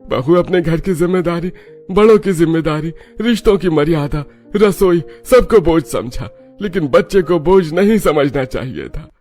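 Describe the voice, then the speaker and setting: A man's voice: on the high side, lively intonation, moderate pace, darkish tone, faint music behind, natural voice. A man voicing a solemn, dramatic older father-in-law in a cartoon family drama. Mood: reproachful.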